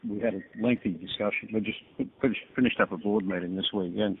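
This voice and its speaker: A company chief speaks formally on an earnings call. He is a man with a lowish, gravelly and husky voice. It comes through a narrow phone line. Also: fairly even intonation, fast talker, a little hiss or hum. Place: conference call.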